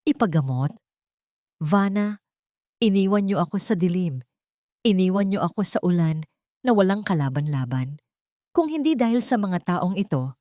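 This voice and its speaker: A wounded old matriarch from an audio drama, played by a woman. She speaks low, with quiet firmness and bitterness, in a dry close recording. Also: sing-song, normal speed, long pauses, no room sound. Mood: bitter. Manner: quiet but resolute, bitter. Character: firm, wounded elderly mother and landowner.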